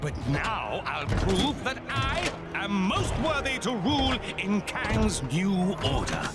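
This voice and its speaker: A man's voice: high-pitched, sing-song, slow, heavily acted. An actor playing a pompous, boastful alien tyrant in a video game, announcing everything like a theatrical showman. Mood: pompous gloating. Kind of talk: video game cutscene acting. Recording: loud music over voice.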